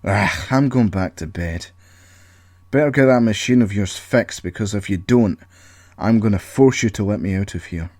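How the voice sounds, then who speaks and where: A man's voice: lowish voice, moderate pace, a little mellow, dry recording, close to an everyday voice. A man voicing a frustrated, impatient trapped character in an audio story, pleading and demanding answers. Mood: angry defiant. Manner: exclamatory, demanding questioning.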